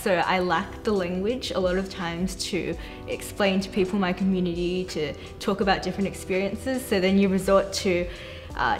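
Young woman, seated panel chat, lowish voice, faint music under, hesitant filler-heavy delivery. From Australia. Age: young adult. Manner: thoughtful, uses many fillers like "like". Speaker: panel guest. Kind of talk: casual group conversation. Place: bright studio with plants, indoors.

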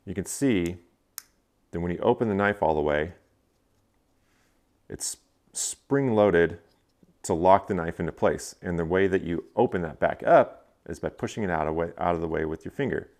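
A man's voice: low-pitched, moderate pace, long pauses, a little mellow. A man in his thirties casually reviewing gear in a living room, explaining step by step with frequent fillers. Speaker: fishing channel host. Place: living room, indoors, seated on a couch.

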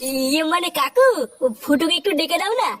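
A man plays a scheming young village slacker in a cartoon comedy, leader of his little gang. The voice is high and artificially raised, with a West Bengal accent. It sounds distant, with heavy noise around it. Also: animated, a little fast. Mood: wheedling. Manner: wheedling with elders, crude among friends. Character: scheming young male ringleader, smooth-talking village slacker. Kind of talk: comic cartoon dialogue. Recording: noisy audio, distant from mic.

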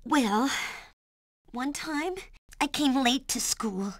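A woman voicing an earnest, naive, well-behaved young girl in an animated series, speaking expressively. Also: high-pitched, very slow, dry recording, studio quality, full character voice. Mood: eager, flustered.

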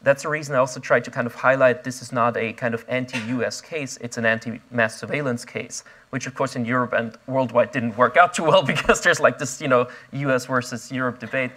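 Man, young, lapel mic, conference stage, restless, filler-heavy, medium pitch. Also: fairly even intonation, bright, forceful tone. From Austria. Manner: fast, restless, many fillers and restarts. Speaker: invited speaker. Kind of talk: onstage conference conversation. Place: conference stage before an audience.

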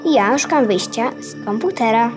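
A woman's voice: on the high side, animated, normal speed, soft background music, natural voice. A female performer playing a curious, forthright young girl in a children's radio play, with a direct, questioning manner. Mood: puzzled. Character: curious, forthright young girl Diana lost inside a computer.

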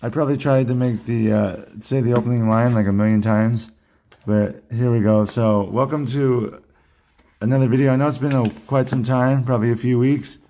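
A man hosting a casual card unboxing vlog, chatty and rambling, slightly hoarse from losing his voice. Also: mid-range voice, fairly even intonation, normal speed, warm tone. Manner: rambling, restarts sentences, chatty. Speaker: card collecting channel host. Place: indoor collection room at a desk.

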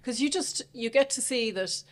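A woman's voice: on the high side, normal speed. A woman in her forties with a Dublin Irish accent, chatting thoughtfully as a podcast guest with fillers and restarts, on a close studio mic. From Dublin, Ireland. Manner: thoughtful, self-deprecating, frequent fillers and restarts. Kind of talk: casual interview chat. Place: small podcast studio, indoors. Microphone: studio mic on a stand close up.

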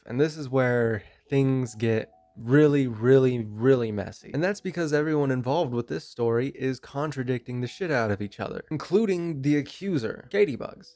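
A man's voice: mid-range voice, a little mellow, dry recording. A young man in his twenties with a nasal voice from a cold, giving blunt, casual commentary into a handheld mic in a small bedroom. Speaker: commentary YouTuber. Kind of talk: drama commentary. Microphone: handheld microphone.

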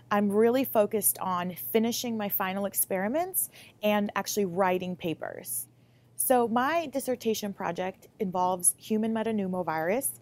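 A young woman in her late twenties with an American accent speaks at a medium pitch. She answers interview questions as a student researcher, explaining things clearly and articulately. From Lithuania, moved to the US Midwest at about eight. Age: late 20s. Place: laboratory, indoors. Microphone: lapel mic.

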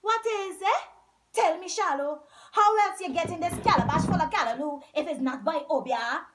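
Storybook villager, played by a woman: thin, desperate man, loud, high and accusing. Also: animated, a little slow, noisy background.

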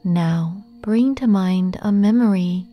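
A woman leading a guided meditation in a gentle, soothing, unhurried manner with long pauses. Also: medium pitch, lo-fi sound, faint music behind.

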